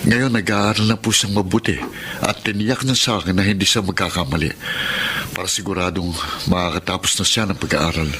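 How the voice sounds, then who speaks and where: An actor playing a strict, protective young older brother in a Filipino radio drama. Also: male voice, low voice, steady tone, a little fast, fairly bright tone, slight background noise. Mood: respectful.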